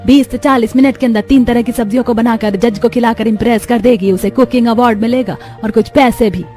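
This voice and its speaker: A chatty neighbourhood woman in a cartoon, acted by a woman, high in pitch and informative. She hesitates here and there, with faint music behind. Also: fairly even intonation, fairly quick, dark tone, natural voice. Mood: friendly, informative.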